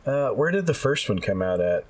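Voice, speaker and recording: A man's voice: mid-range voice, big pitch swings, a little fast. A man co-hosting a gaming podcast, chatting in a laid-back, informal way. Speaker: podcast co-host. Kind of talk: casual gaming podcast chat.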